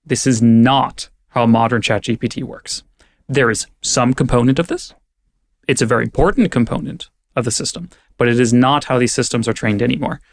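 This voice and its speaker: A man's voice: mid-range voice, normal speed. Thoughtful young man explaining at length. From California, raised partly in Germany. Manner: thoughtful, explanatory, many fillers. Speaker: guest, AI safety advocate. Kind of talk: conversational podcast interview.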